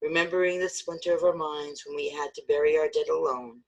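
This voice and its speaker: A man's voice: fairly high pitch, fairly even intonation, brisk, vocal fry, low-quality recording. A man, an actor, giving a poetic and solemn dramatic reading of a memorial piece.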